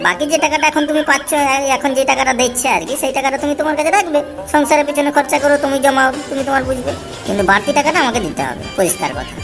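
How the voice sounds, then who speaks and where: A man voicing a scheming, boozy village husband in an amateur comedy cartoon, confiding, with artificially raised pitch. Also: very fast, creaky. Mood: scheming, chummy. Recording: reverberant track with faint music. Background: faint music.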